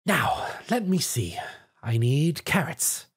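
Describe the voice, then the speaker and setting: A man's voice: a little high, very animated, slow pace, slightly rough, exaggerated voice. A man voicing a refined, dramatic female fashionista pony with affected, genteel manners in a story.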